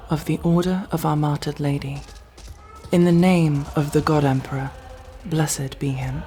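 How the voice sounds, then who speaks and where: A woman's voice: deep voice, a little mellow. A woman playing a devout, zealous sci-fi battle sister in an ASMR roleplay, with solemn, preaching, archaically formal speech. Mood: solemn, commanding. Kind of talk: ASMR roleplay, dramatic monologue.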